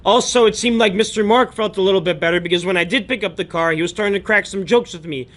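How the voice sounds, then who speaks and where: A man's voice: high voice, quick pace, fairly bright tone. A young American man telling stories about his day in a casual, chatty, slangy and emphatic vlog style. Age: young adult. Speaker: daily vlogger, online book seller.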